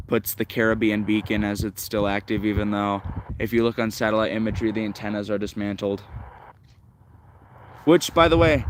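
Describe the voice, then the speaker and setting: Low-voiced man rambling casually on a stream. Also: a little hiss or hum. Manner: halting, thinking aloud, enthusiast's chatter. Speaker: host of a shortwave radio listening stream.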